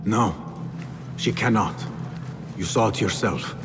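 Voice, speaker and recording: A man acting a troubled, questioning young assassin in a video game, with a gravelly voice and a grave, deliberate delivery. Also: fairly low pitch. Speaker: game character actor. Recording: loud music behind the voice.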